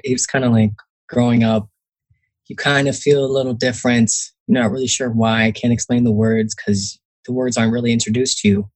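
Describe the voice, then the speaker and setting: Young guy from jersey just chatting, lots of likes and ums, kinda breathy voice. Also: on the low side, no room sound, rough recording. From New Jersey. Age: young adult. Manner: chatty, many fillers, acts out quotes. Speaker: guest author. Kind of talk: casual interview talk.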